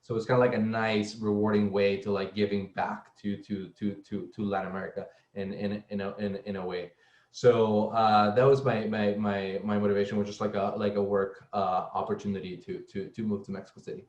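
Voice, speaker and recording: A man's voice: on the low side, flat delivery, darkish tone, echoey room, dull audio. A young man from El Salvador, speaking as a guest on a casual panel and often stumbling over repeated words. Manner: halting, many repeated words and fillers. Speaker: guest speaker, software engineer.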